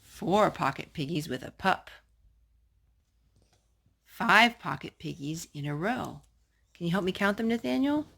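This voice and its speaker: Creaky, lower-voiced woman reading to a child. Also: lively intonation, a little slow, pauses often. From North Carolina. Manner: gentle questions and asides to a young child. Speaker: storytime host reading children's books aloud to a child. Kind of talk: children's read-aloud storytime.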